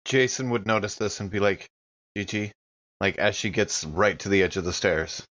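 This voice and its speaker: A man's voice: lowish voice, moderate pace, a bit bright, lo-fi sound. A man playing in a tabletop game podcast, talking casually in and out of character.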